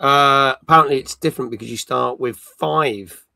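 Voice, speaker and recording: A man's voice: medium pitch, moderate pace, creaky, sharp tone, dry recording. A British man in his forties hosting a gaming live stream, chatting casually and reading out viewer comments. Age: 40s.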